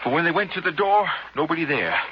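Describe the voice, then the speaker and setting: An actor playing a seasoned, grave police officer in a radio drama, with a measured, world-weary manner. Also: male voice, on the high side, sing-song. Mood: grave, knowing. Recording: dull, faintly noisy old radio sound.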